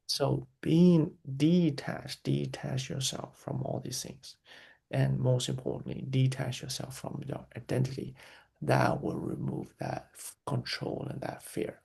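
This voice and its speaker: Guest voice: man, very gravelly and husky, earnest and reflective, circles back and repeats himself. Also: mid-range voice, flat delivery, unhurried, mellow. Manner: earnest, repeats key phrases, frequent restarts. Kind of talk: reflective interview answers.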